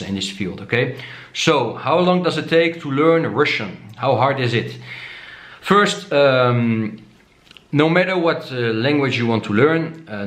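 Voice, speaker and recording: A man whose first language is Dutch, casually talking to camera as a vlogger, often asking "okay?" and filling pauses with "uh". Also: lowish voice, a bit bright, reverberant. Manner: repeats himself and tags "okay?" often, many "uh" fillers.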